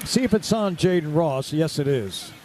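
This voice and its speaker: High-pitched, lively American male play-by-play announcer. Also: normal speed. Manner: clipped present-tense phrases. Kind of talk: live radio basketball play-by-play commentary. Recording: clear crowd and arena background noise.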